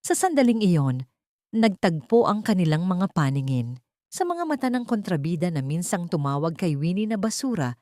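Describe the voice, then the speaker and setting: A Filipino woman narrates a dramatic audiobook in a low-pitched voice. Her delivery is scripted storytelling. The recording is dry, with very little room sound. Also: sing-song, moderate pace, dark tone, no room sound.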